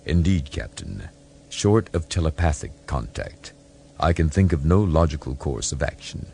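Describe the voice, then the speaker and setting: A man's voice: very deep voice, animated, normal speed, vocal fry, a little mellow, slight background noise, heavily acted. A man voicing a composed, logical alien science officer in an audiobook, formal, precise and unemotional. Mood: cool composure. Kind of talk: audiobook character voice.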